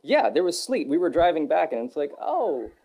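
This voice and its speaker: A young adult man answering interview questions in a relaxed, rambling way, with many fillers and restarts. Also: fairly high pitch, moderate pace, creaky. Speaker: voice actor guest. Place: Texas.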